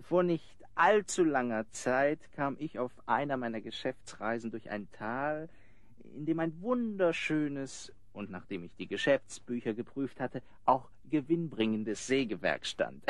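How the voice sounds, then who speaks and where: A boastful con man of a suitor in a radio play. He spins his tale grandly in a medium-pitched, very lively voice, recorded dry. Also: no room sound. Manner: grandiose, storytelling.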